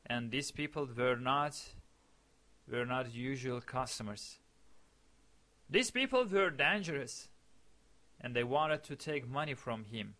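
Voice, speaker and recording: Slow, bright-voiced man. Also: medium pitch. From eastern Turkey. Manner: slow, deliberate, repeats phrases as he searches for words. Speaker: storyteller. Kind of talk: personal anecdote told in English.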